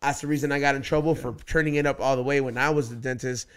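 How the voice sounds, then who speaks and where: A man, hosting a podcast in casual chat, loud and swearing, telling stories on a studio mic. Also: mid-range voice, fairly even intonation, fast. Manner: loud, swearing storyteller who raises his voice for punchlines. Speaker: podcast host. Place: small studio room decorated with neon signs. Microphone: studio mic on boom arm, wearing headphones.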